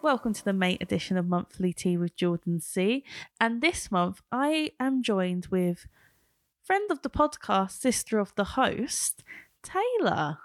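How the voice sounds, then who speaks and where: A woman hosting a casual pop culture podcast, chatty and quick to laugh. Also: medium pitch, big pitch swings, dry recording. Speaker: podcast host.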